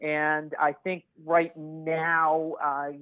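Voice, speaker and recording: A man with a somewhat high voice talks casually as a guest on a discussion show. He feels his way through ideas out loud, pausing and hesitating. The sound is dry, with little room on it and a slightly narrowed, radio-like tone. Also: level tone, dry recording. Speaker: podcast guest, writer. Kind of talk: casual conversational analysis.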